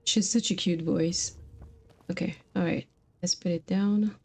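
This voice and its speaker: A woman's voice: lowish voice, fairly even intonation, a little slow, a little mellow. A woman streamer talking casually and informally while she plays a video game, chatty with her viewers. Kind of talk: casual livestream chat while playing.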